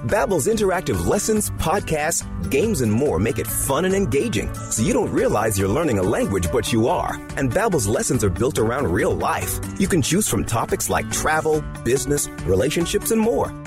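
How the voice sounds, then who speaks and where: A man's voice: fairly high pitch, sing-song, normal speed, hardly any pauses, music in the background. A man as announcer, delivering a scripted radio advertisement. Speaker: commercial announcer.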